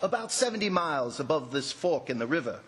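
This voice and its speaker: Man acting a lively, deferential radio-drama subordinate. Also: a little high, a little fast, breathy edge, full character voice. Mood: puzzled, deferential. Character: deferential subordinate reporting danger to a superior.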